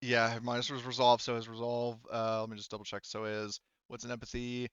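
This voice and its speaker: A man's voice: medium pitch, completely flat delivery, a little fast, fairly bright tone, clear, resonant tone, dry recording. A man running a tabletop roleplaying game, casually narrating and making rulings as he thinks aloud, on a slightly dull microphone. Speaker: game master.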